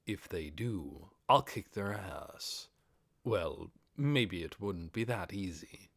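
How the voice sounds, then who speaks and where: A man voicing a cautious, gracious undead overlord in an audiobook, speaking in a measured, commanding way. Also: deep voice, expressive, dry recording, studio quality, heavily acted. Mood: resolute. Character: undead overlord with red-spark eyes, cautious, gracious master. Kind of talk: audiobook character acting.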